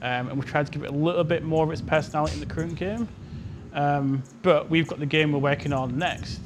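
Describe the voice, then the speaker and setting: A man's voice: fairly high pitch, fast talker, noisy background. A man answering questions as a game developer on a panel, explaining informally in a loose, hedging way. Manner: hedging, explanatory, peppered with "you know" and "kind of".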